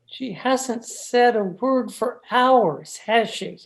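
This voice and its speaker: A woman's voice: on the high side, slow, creaky. A woman acting a meek, henpecked, frightened husband in an old-time radio thriller, timid and stammering. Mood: weary, uneasy.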